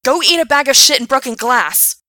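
A woman voicing a self-righteous young male park worker who shouts indignantly. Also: high voice, normal speed, dry recording, studio quality.

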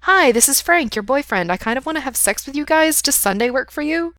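A woman playing a defensive, flustered young man in an audiobook, with emphatic, halting delivery. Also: high voice, expressive, fairly bright tone, no room sound, clean studio sound, pitched above the performer's own voice.

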